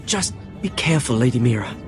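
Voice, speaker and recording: An earnest young servant, acted by a man, passes on information in a hushed, confiding manner. The dialogue is dramatic game acting, with music clearly behind it. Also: mid-range voice, lively intonation, a little fast, close to an everyday voice. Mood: secretive.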